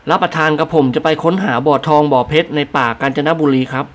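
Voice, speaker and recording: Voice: medium pitch, fairly even intonation, fast talker, natural voice. A reader voicing a humble, deferential male servant answering his masters politely in an audiobook.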